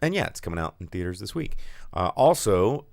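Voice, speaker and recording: A hoarse man co-hosting a movie podcast, talking casually about new releases with lots of fillers and animated asides. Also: low voice, brisk, dry recording, studio quality. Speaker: podcast co-host. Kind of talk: casual movie news chat.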